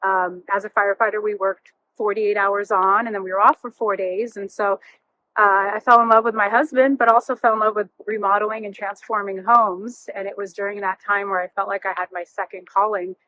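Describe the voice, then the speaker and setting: A woman's voice: medium pitch, level tone, brisk, pushed and bright, a little hiss or hum, low-quality recording. A woman in her thirties whose first language is Russian, telling personal stories casually as an interview guest. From born near Chernobyl, emigrated as a child to California. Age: 30s. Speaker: interview guest, realtor and former firefighter.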